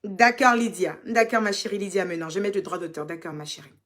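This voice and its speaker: A young woman with an Ivorian French accent, chatting casually as she reads out fan questions and answers them, teasing and affectionate, sometimes dropping to soft, quiet asides. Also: medium pitch, steady tone, fast talker. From Côte d'Ivoire. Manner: chatty and teasing, calls fans "ma chérie". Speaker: social media personality answering fan questions. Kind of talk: casual live Q&A reading viewer comments.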